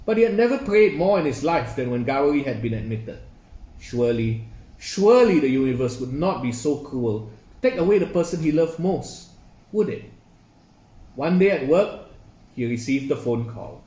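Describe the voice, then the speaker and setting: A man's voice: mid-range voice, animated, normal speed, warm tone. A man reading his own short story aloud in an earnest storytelling manner, then signing off in a friendly way. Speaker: author reading his fiction. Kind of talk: literary reading. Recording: roomy echo.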